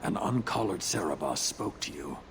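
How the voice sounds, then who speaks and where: An actor playing a stern, cold warrior commander in a video game, speaking in a formal, measured, commanding way. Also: male voice, low-pitched, animated, vocal fry, slight background noise. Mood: cold, solemn. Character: stern horned warrior commander, cold, rigid, solemnly menacing.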